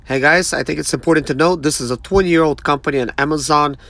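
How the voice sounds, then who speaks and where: A man's voice: on the high side, fast, fairly bright tone. A man in his thirties chatting casually and informally to viewers as he reviews a product. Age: 30s. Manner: informal, conversational, addresses viewers as guys. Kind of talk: casual unboxing chat to camera.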